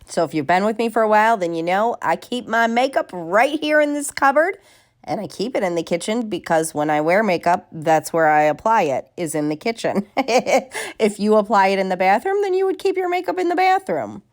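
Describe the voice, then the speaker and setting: A woman's voice: mid-range voice, animated, fairly quick. A woman, chatting casually in a home vlog, a little nasal and congested from a cold, bubbly and talkative. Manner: bubbly and talkative, many fillers. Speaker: vlogger. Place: home kitchen.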